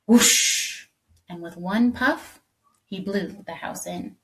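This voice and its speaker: A woman's voice: on the low side, slow pace, a bit bright. A woman teacher reading a children's story aloud with dramatic expression. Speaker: elementary school teacher. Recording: clear background noise.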